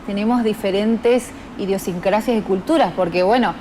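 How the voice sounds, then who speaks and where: A woman's voice: mid-range voice, moderate pace, a little mellow, slight background noise. A woman with an Argentine Spanish accent, interviewing a guest attentively and giving frequent short acknowledgements. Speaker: interviewer host. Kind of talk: interview conversation.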